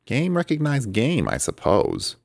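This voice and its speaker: A man's voice: sits low, huge pitch swings, fairly quick, gravelly, darkish tone, dry recording, clean studio sound, pitched above the performer's own voice, full character voice. A man voicing a small, cocky male bat creature who teases and taunts. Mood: teasing. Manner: sarcastic, taunting.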